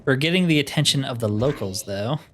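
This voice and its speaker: A man's voice: mid-range voice, expressive, fairly quick, fairly bright tone, slight background noise. A man giving casual, wry commentary as he plays through a video game, often with dry humor. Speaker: gaming video host.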